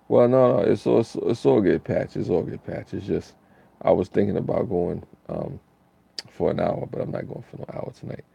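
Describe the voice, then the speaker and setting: A man's voice: low-pitched, mellow, no echo, dull audio. A tired man, hosting a laid-back live stream and rambling through chat comments. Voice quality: raspy.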